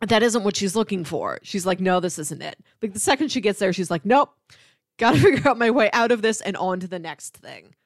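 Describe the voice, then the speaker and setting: A woman hosts a casual film discussion in a medium-pitched voice. She tends to act out imagined lines as she explains her points. The sound is close and dry. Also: animated, fairly quick, no room sound. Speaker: film discussion podcast host.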